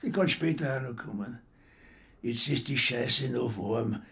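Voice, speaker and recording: A man's voice: medium pitch, unhurried, fairly bright tone. An actor playing a bitter, sarcastic bedridden old man in a radio drama, mocking and blunt, with an Austrian accent. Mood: grumpy. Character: bitter, sarcastic, bedridden old male care-home patient.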